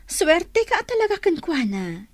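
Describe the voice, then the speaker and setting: An actress playing a cheerful, supportive young female friend in a radio drama, with expressive, encouraging delivery. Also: extremely high pitch, measured pace, no echo, exaggerated voice. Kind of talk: Filipino radio drama acting.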